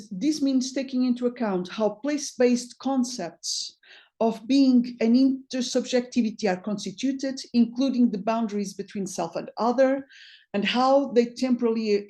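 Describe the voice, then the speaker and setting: A woman's voice: fairly high pitch, dark tone. A woman from Portugal, a native Portuguese speaker with a Portuguese accent, giving an academic talk over a video call, reading from notes with frequent restarts. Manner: halting, many restarts. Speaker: guest lecturer.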